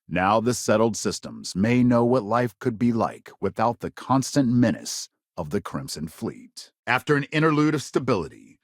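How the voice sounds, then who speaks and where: A man acts as a polished male news anchor from a space-faring game world. His pitch swings widely as he reads grave bulletins with measured, dramatic emphasis. The sound is dry, with no room around it. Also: no echo, lightly acted. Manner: measured, emphatic newsreader delivery. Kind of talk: scripted news broadcast narration.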